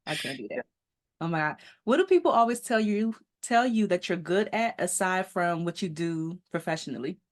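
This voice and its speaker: Female podcast host, lower-pitched voice, eager and chatty, laughs easily. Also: expressive, moderate pace. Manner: upbeat, enthusiastic, laughs often. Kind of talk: casual interview chat.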